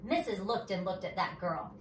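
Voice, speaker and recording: A woman's voice: medium pitch, animated, moderate pace. A woman teacher reading a children's story aloud expressively, with chatty asides, recorded at a distance in an echoey room. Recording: roomy echo, distant mic, faint noise.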